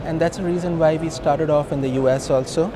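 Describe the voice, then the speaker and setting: A man's voice: on the high side, fairly even intonation, a little fast. A man in his thirties with an Indian accent, speaking informally and emphatically as an entrepreneur being interviewed. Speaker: company co-founder being interviewed. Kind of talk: conversational business interview. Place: busy hosting industry event. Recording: noisy event location, traffic-like ambient noise.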